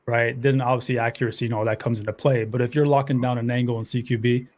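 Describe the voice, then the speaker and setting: A man from Afghanistan talks easily as a podcast guest, restarting his sentences now and then as he works out what to say. His voice sits at an ordinary middle pitch, and his delivery stays loose and conversational. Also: fast. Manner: relaxed, repeats words as he thinks. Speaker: guest, tactics instructor. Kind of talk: casual podcast interview.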